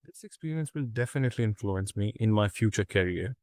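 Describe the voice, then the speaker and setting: Young man, from Pakistan, student presentation, earnest measured reading, dry recording. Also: fairly low pitch, no room sound. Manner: earnest, measured, steady reading with small restarts. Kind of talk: reading a prepared reflection presentation.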